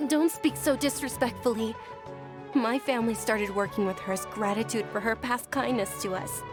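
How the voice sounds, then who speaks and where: Gentle, well-bred young noblewoman, female actor: fairly high, courteous, caring game performance. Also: normal speed, natural voice. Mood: firm. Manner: polite, proper. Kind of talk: anime game voice acting. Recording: music under game dialogue.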